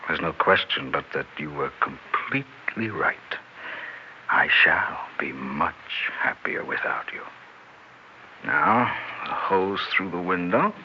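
An actor playing a suave, sardonic, tipsy husband and cold-blooded killer in a theatrical 1960s radio drama. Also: male voice, extremely low pitch, expressive, slight background noise, full character voice. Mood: gloating, sardonic. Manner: theatrical, mock-tender, deliberate. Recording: muffled vintage radio recording with music.

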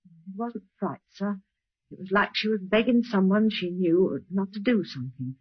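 A man playing an earnest, subdued eyewitness in an old radio crime drama, halting and respectful but sure. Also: sits high, husky. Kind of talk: old-time radio acting. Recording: muffled old radio recording.

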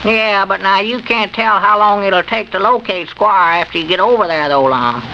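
An old radio recording, dull and full of noise, carries a fussy old country storekeeper. His voice is high and creaky, with a rural Southern drawl and an amiable, folksy manner. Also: moderate pace, sharp tone. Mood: fussy, helpful. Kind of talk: 1930s radio comedy serial acting.

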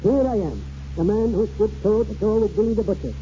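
Roguish old Scottish grandfather, high-pitched. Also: expressive, a little fast, mellow. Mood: boastful. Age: older. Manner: grandiloquent, theatrical. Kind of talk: 1940s radio acting. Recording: muffled, noisy 1940s radio recording.

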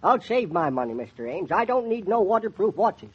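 Crusty old rural American codger, high-pitched, husky, folksy grumbler, nostalgic, 1940s radio play, dull lo-fi sound. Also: male voice, big pitch swings, heavily acted. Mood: grumbling. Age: older. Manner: folksy, grumbling, drawn-out musing.

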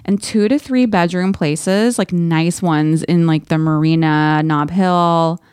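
A woman co-hosting a podcast in casual chat, retelling things in a wry, animated, slangy way. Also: fairly low pitch, slow pace, clear, resonant tone, dry recording, studio quality. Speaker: podcast co-host. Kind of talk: casual book-discussion chat.

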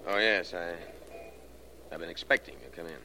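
An actor playing a grave, resigned suspect in 1940s radio drama, speaking in a subdued, heavy, halting manner. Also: male voice, low voice, natural voice. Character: resigned, grave man confessing to a crime. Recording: very noisy vintage 1940s radio recording.

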